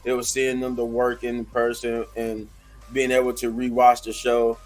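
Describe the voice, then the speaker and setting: A hoarse man giving a casual, rambling wrestling review, full of fillers and restarts. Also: mid-range voice, completely flat delivery, normal speed, a little mellow.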